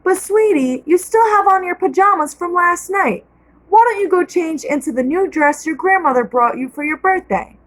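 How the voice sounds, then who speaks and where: A gentle, affectionate mother soothes her little girl in a high, soft voice, read by a woman. Her talk is patient and warm in words. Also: lively intonation, normal speed, low-quality recording. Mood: tender.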